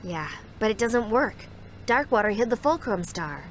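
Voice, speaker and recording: A woman's voice: fairly high pitch, sing-song, normal speed, slight background noise. A woman playing a practical young alien heroine in a video game. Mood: alert, questioning. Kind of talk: video game character acting. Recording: very echoey, distant hall.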